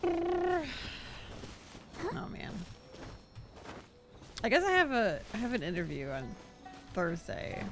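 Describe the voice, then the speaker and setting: A young woman livestreams a game in a high, very expressive voice. She rambles along informally and giggly at an easy pace, chatting to viewers. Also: takes it slowly, long pauses, faint music behind. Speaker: live streamer playing a video game. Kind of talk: casual livestream chat and game reactions.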